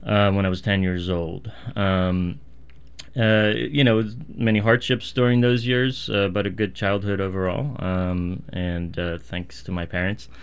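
A man in his thirties is being interviewed in a studio. His voice is fairly low and very clean and resonant. He answers conversationally, pausing often as he puts his phrases together. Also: fairly even intonation, smooth. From Iran and Pennsylvania. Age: 30s. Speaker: radio interview guest, physician. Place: radio studio. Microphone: studio microphone.

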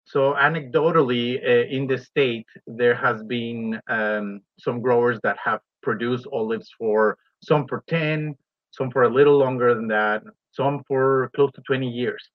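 A man from Ecuador, a university professor, explaining his work as a radio interview guest in a halting, pause-filled way. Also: mid-range voice, steady tone, dry recording, highs cut off.

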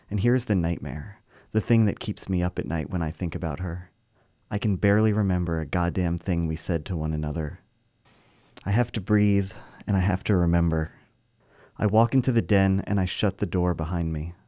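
Low, mellow man reading a personal essay. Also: dry recording, clean studio sound. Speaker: writer reading own personal story.

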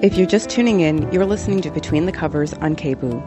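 A woman radio host reading a scripted station announcement in a crisp announcer delivery. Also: sits low, fast talker. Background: music bed.

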